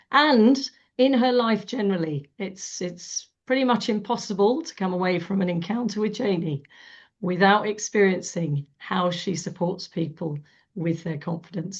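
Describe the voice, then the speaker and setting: A woman with a croaky voice, hosting a coaching webinar in a warm, chatty way with many fillers. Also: medium pitch. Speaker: webinar host. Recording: dull lo-fi webinar audio.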